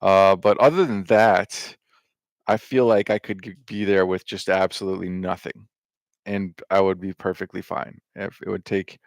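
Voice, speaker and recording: A man's voice: low-pitched, level tone, moderate pace, dry recording. A man talking in a loose, meandering monologue, full of fillers, restarts and casual swearing. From United States. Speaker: vlogger.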